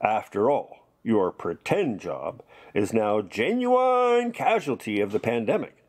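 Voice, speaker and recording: A man's voice: fairly low pitch, sing-song, a little slow. A man playing a gleeful, exclaiming announcer. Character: excitable male radio announcer. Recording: music underneath.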